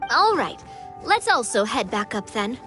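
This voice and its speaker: A woman voicing a friendly, gentle young woman in a video game. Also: fairly high pitch, sing-song, a little slow, over music. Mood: easygoing.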